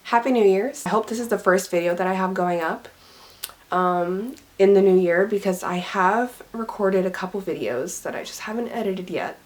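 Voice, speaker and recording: A young woman chatting casually about books, a little raspy and scratchy from being sick, rambling with lots of "like" and "um". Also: medium pitch, moderate pace. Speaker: book vlogger. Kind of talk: casual chat to camera.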